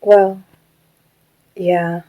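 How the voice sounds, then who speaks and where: A woman's voice: fairly low pitch, little pitch movement, very slow, no room sound, lo-fi sound. A woman playing a shy, earnest, childlike ghost living in a puppet, in an amateur audio drama. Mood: embarrassed, downcast.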